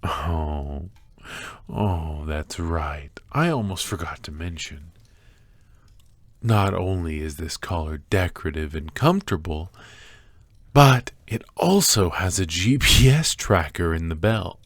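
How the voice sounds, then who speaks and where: A man playing an obsessive, doting and sinister kidnapper in an ASMR roleplay, speaking softly and haltingly with breathy whispers and sighs. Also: low voice, expressive, no room sound, heavily acted. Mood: calmly threatening. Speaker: voice actor.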